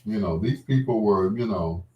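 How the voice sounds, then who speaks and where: A man's voice: fairly low pitch, normal speed, highs cut off. A man hosting a radio show, telling personal stories in a casual, rambling, reminiscing way. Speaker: radio host. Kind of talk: casual storytelling between love songs.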